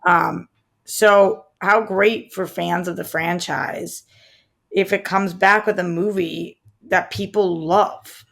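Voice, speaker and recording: Very creaky-voiced woman, slangy podcast co-host. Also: lowish voice, steady tone, slow, a bit bright. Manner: colloquial, slangy, conversational. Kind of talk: casual pop culture chat.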